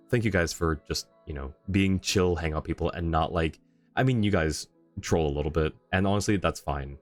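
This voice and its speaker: A man livestreaming a game, chatting casually and thinking aloud in an easygoing way. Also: rumbling low.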